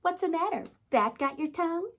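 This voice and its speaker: A woman's voice: extremely high pitch, expressive, brisk, pitched above the performer's own voice. A woman voicing a cheeky young girl ghoul who loves cracking jokes in a children's story, with punchy, playful delivery. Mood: teasing.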